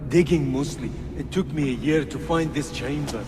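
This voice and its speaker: A man's voice: medium pitch, normal speed, over music, close to an everyday voice. An actor playing a frightened, pleading working man, meek and plaintive, in a video game cutscene. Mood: pleading, weary. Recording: long cavernous reverb, loud background noise.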